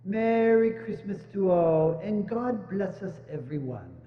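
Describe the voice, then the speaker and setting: A man playing a warm, humble father of a poor family, earnest and hearty, in a dramatic reading. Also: high voice, lively intonation, unhurried. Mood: earnest, festive. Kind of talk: dramatic reading of a stage adaptation. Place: indoors, church. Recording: echoey church hall, dull sound, faint hum.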